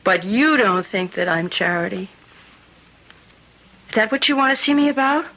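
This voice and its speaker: An actress playing a fragile, tender young woman who talks haltingly in an old-time radio drama. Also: medium pitch, lively intonation, a bit bright, lo-fi sound. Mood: anxious. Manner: hesitant, halting.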